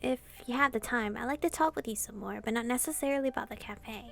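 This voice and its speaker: Flirtatious demon king, read by a woman: light, conversational, highish with vocal fry, visual novel dialogue. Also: fast talker, fairly bright tone, soft background music. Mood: gentle, inviting. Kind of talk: reading translated visual novel dialogue aloud.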